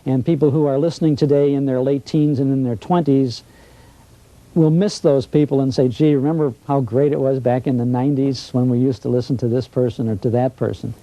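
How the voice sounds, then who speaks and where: A man's voice: mid-range voice, steady tone, a little fast, mellow, no echo, low-quality recording. A man giving opinions in a conversational interview, plainspoken and emphatic, often repeating himself.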